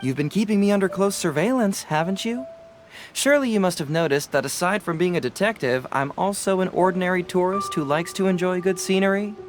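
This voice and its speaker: A man's voice: high voice, a little fast, faint music behind, natural voice. A man acting a breezy young detective. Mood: playful. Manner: playful, casual, self-assured. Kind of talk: video game voice acting.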